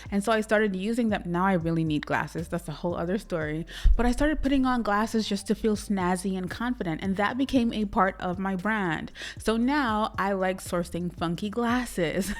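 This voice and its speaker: A woman from Trinidad and Tobago with a Trinidadian accent, talking to camera in a casual, encouraging advice vlog for her channel. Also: lowish voice. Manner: chatty, encouraging, talks straight to the viewer. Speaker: YouTuber and business coach.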